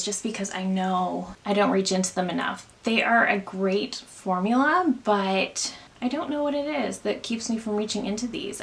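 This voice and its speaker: Chatty young woman with a gravelly edge. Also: mid-range voice. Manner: chatty, candid product opinions. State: congested from allergies. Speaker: beauty vlogger. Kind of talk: casual makeup tutorial chat.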